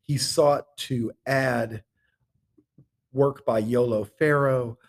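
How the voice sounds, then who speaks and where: A middle-aged man speaking as an interview guest in an earnest, measured way with deliberate pauses. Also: medium pitch, fairly even intonation, vocal fry. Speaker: podcast guest, theatre artistic director. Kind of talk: conversational interview talk.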